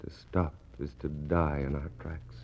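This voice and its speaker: Doomed polar officer, very low voice, gravelly, despairing, incantatory, poetic 1960s radio play, muffled noisy recording. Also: male voice, little pitch movement. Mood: desperate resolve. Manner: slow, incantatory, halting delivery. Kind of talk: 1960s radio drama acting, poetic monologue.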